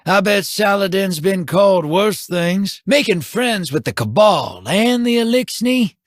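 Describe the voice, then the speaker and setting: An actor voicing a wily, scruffy male gambler in a video game, drawling folksy wisecracks in a husky voice. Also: high-pitched, expressive, a little slow, dry recording, clean studio sound. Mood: sly.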